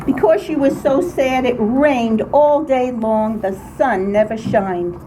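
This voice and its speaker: An older woman reads her own humorous personal story aloud in an expressive, dramatic way, with emphatic phrasing. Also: fairly high pitch, slow. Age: older, grandmother. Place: indoors, writing group meeting room. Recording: very noisy small-group room recording. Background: clear background noise and room ambience.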